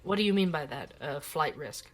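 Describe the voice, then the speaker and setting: A woman playing a composed investigative journalist in an audio drama, asking measured, probing questions. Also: deep voice, lively intonation. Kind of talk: audio drama acting as podcast interview.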